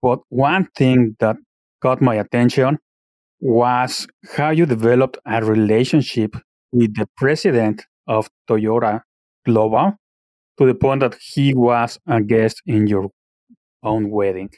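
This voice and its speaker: A man hosting an interview, asking questions conversationally and a little haltingly, repeating words as he goes. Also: medium pitch, a little mellow, dry recording. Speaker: interview show host.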